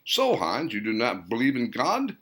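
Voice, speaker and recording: A man's voice: medium pitch, huge pitch swings, a bit bright. A man voicing a scholarly man who earnestly lectures on ancient languages and lost places. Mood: probing. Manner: earnest, explanatory, measured.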